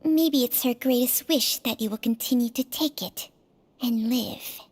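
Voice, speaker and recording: A woman playing a calm, considerate companion in a video game, explaining things in a measured, grave way. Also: a little high. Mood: gentle, solemn.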